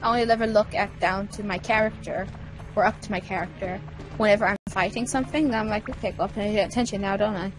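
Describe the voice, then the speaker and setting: A woman's voice: mid-range voice, fairly even intonation, quick pace, music in the background, low-quality recording. A woman chatting casually and playfully over a video game, giggly, often acting out silly little lines. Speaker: co-commentator on a game playthrough. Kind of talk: casual gameplay chat.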